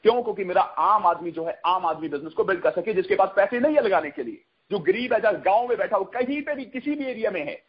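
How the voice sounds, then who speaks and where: A man gives an emphatic, repetitive sales pitch on a conference call, mixing in English phrases and asking questions that he answers himself. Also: lively intonation, quick pace, few pauses. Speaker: network marketing promoter hosting a conference call. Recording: dull, lo-fi conference call audio.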